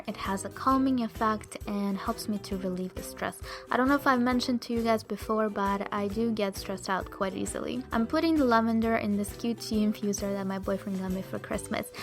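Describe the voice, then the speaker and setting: A woman's voice: mid-range voice, a little fast, faint music behind. A young woman with a Russian accent, chatting cheerfully to viewers as she narrates her cooking. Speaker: vegan food vlogger.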